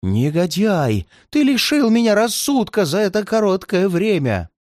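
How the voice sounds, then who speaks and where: A man voicing a frightened, paranoid old man who pleads and wails in a high, cracking voice. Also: sing-song, unhurried, a little mellow, no room sound, clean studio sound. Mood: pleading. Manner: wailing, high pleading cries.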